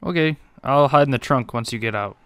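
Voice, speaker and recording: A man's voice: medium pitch, a little fast, a bit bright, clear, resonant tone, no room sound. A man chatting casually while playing a role-play game, joking and trolling with plenty of slang and swearing. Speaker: gaming video creator playing online role-play.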